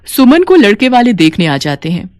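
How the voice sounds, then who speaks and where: A woman's voice: on the high side, sing-song, moderate pace, dry recording, studio quality. A woman reading scripted story narration as the narrator.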